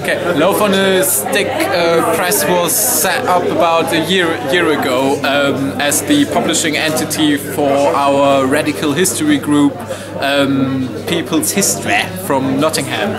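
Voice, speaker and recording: Interviewee, man, non-native accent, halting and um-filled, slightly high voice, loud crowd and music behind. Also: level tone. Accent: non-native English. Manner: hesitant, many fillers and restarts. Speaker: interviewee, radical history publisher. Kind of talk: casual interview. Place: busy indoor fair at a university.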